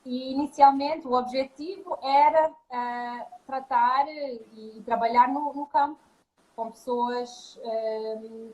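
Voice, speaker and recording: A woman from Mozambique with speech, a naturopathic doctor talking over a live video chat, often restarting and filling pauses. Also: fairly high pitch, a little mellow, low-quality recording. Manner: hesitant, many restarts and fillers. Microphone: video call.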